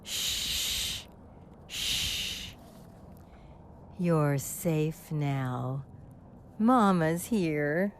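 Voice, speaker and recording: A tender, protective mother, acted by a woman in a horror radio play. She coos in a hush, drawing each word out very slowly, with faint noise behind her. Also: deep voice, expressive, heavily acted. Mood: tender, soothing. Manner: hushed, slow, cooing. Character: gentle, soothing mother of a frightened daughter. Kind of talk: audio horror drama acting.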